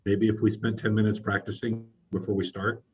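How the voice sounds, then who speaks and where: A husky-voiced man coaches a group over a video call, upbeat and encouraging as he prompts people for answers. The audio is dull and lo-fi. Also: fairly low pitch, little pitch movement, brisk. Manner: encouraging, asks questions. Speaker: training session leader.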